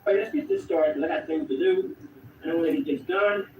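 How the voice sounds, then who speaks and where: A man's voice: on the high side, sing-song, a little fast, phone-quality sound. A man with a cold and sniffles, talking to himself in a meandering way while working in a garage. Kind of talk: casual talk while working. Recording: distant, roomy, noisy clip replayed.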